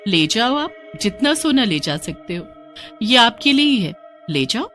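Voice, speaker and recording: A woman's voice: on the high side, sing-song, unhurried, a bit bright, soft background music, pitched above the performer's own voice. A woman voicing a menacing witch girl who taunts and laughs in a horror storybook tale. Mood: sweetly luring.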